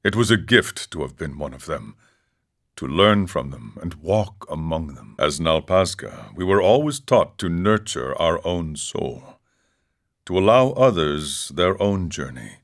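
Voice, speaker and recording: A man voicing an older, serene and wry wandering ascetic monk in a video game, speaking in a solemn, measured, philosophical way. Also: low-pitched, sing-song, full character voice. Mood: solemn, wistful. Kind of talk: scripted game character dialogue.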